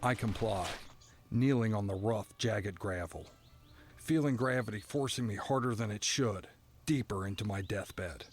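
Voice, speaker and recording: A man playing a stoic, resigned prisoner who narrates an audio drama in a somber, deliberate, poetic storytelling manner. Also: lowish voice, slightly rough. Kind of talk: scripted first-person dramatic narration.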